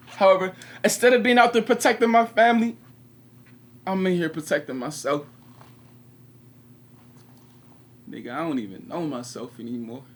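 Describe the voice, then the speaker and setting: An actor playing an anguished, regretful 21-year-old prisoner in a confessional stage monologue with dramatic pauses. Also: male voice, fairly high pitch, fairly bright tone, slight background noise, natural voice. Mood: anguished, agitated, then bitter, regretful. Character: anguished 21-year-old male prisoner, former track star, bitter and regretful.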